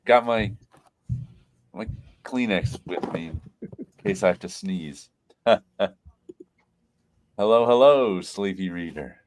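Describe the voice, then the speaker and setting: A congested man hosts a relaxed live stream, his voice sitting a little low. He rambles through his stories, laughing often and restarting his sentences as he goes. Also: slow, long pauses. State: congested from sinus trouble. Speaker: host. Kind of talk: casual live-stream chat.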